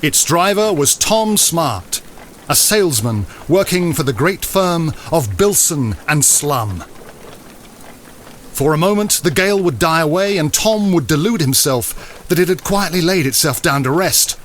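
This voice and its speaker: Male narrator for audio drama, somewhat high register, dramatised classic storytelling. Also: lively intonation, unhurried, a little hiss or hum.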